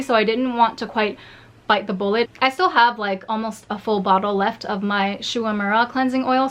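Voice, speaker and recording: Chatty, rambling woman, slightly hoarse. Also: fairly high pitch, a bit bright. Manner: rambling, chatty, many fillers and restarts. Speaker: beauty vlogger. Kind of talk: casual haul vlog.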